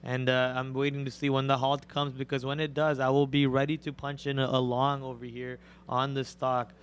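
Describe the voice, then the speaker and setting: A raspy young man hosting a live stream, talking through his trades and calling out prices as they move. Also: slight background noise. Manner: rapid excited play-by-play, gets loud and high when a stock moves. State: raspy voice from a cold. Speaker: day trader and co-host. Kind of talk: live trading commentary.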